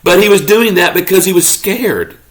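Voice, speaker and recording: A man reading a children's story aloud expressively, as a parent does to a child, pausing to ask questions. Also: sits high. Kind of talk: expressive children's read-aloud, narrating as a pet dog.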